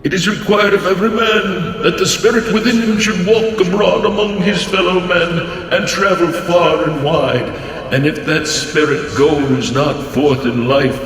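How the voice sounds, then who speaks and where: A man voicing the mournful ghost of a dead miser in chains, wailing in a drawn-out, sing-song moan. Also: high-pitched, steady tone, a bit bright, voice pushed up. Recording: echoey ghostly reverb over music.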